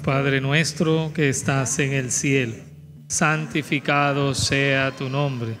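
A man's voice: mid-range voice, steady tone, takes it slowly, over music. A middle-aged man, a priest leading prayers and addressing a congregation in a large church over a microphone. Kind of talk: liturgical prayer and announcements to a congregation. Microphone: microphone on altar stand or handheld.

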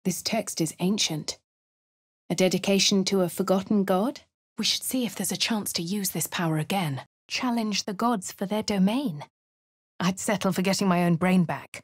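An actress voicing a posh young woman adventurer in a game, speaking in a measured, composed way with a posh English accent. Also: mid-range voice, husky, no room sound, studio quality, close to an everyday voice. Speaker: player character. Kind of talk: game voice lines.

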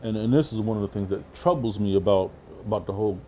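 A middle-aged man talks quickly in a slightly low, mellow voice. He restarts phrases and peppers his talk with "you know". He is picked up on a lapel mic in a small barbershop with a faint hum behind him. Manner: repeats words, frequent "you know what I mean". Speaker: panelist. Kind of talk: casual discussion.

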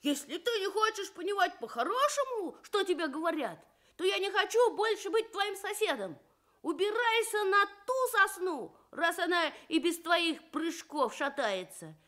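A woman's voice: extremely high pitch, big pitch swings, normal speed, a bit bright, voice pushed up, full character voice. A woman voicing a grumpy, stubborn male badger in a children's radio tale, grumbling indignantly.

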